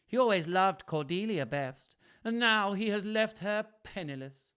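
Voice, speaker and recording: A man's voice: sits high, lively intonation, unhurried, fairly bright tone, smooth, voice pushed up. A man voicing a resentful, scheming princess in a storybook reading, complaining pointedly. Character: resentful scheming princess, a grown daughter of a king.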